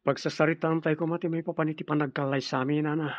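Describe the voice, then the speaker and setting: A man's voice: on the high side, level tone, fast talker, no room sound, close to an everyday voice. Young Ilocano man played by an actor, radio drama, devoted suitor, earnest, dry slightly dull recording. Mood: earnest, respectful.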